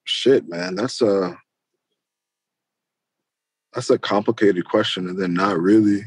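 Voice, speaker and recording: A man's voice: on the low side, takes it slowly, breathy edge, no echo. Casual New man with a dry sound. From Queens, New York, United States. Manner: slangy, profane, loose and conversational. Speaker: interview guest, rap musician. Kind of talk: casual interview chat.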